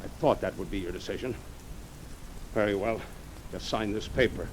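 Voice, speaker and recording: An actor playing a coolly determined, vengeful blackmailer in an old radio drama, measured and accusing. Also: male voice, on the low side, natural voice. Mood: stern, demanding. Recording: old radio recording with faint rain noise.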